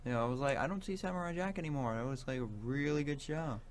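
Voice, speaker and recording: A young man chats casually as a podcast co-host. His voice sits fairly high and jumps around in a very animated way. He mostly reacts and chips in. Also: fast. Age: young adult. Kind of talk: casual chat.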